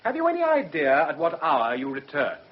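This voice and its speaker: An actor playing a shrewd, controlled defence barrister in a radio drama, with a Received Pronunciation English accent and precise, formal questioning. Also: male voice, on the high side, huge pitch swings, a little fast. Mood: brisk, probing. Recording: muffled, dull radio drama recording.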